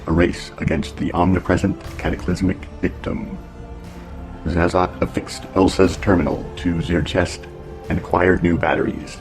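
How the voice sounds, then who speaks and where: A man narrating a dramatic audio serial in a measured, ominous storyteller's manner. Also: low-pitched, flat delivery, over music.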